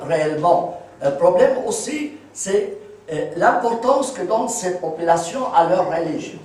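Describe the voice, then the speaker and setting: A man's voice: a little high, a little mellow. A man in his sixties from Palestine giving a public talk, explaining points with simple examples and asking his listeners whether they agree. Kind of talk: lecture with audience questions. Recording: echoey room, speaker far from the mic.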